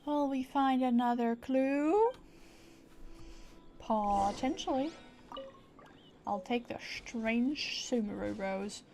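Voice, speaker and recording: A woman's voice: fairly high pitch, slow pace, long pauses, dark tone. A woman streamer giving casual live gameplay commentary, with a playful, cutesy way of playing with words. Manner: playful, cutesy word play. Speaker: game streamer. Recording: faint background noise and game ambience.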